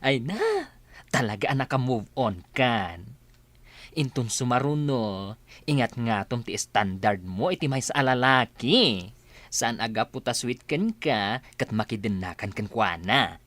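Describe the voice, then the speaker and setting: Flamboyant, wildly swooping gossipy friend. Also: male voice, medium pitch, animated, unhurried, a bit bright, no room sound. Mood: teasing, encouraging. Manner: exclamatory, teasing, swooping delivery. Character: flamboyant, gossipy male best friend, excitable and nosy. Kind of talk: radio drama acting.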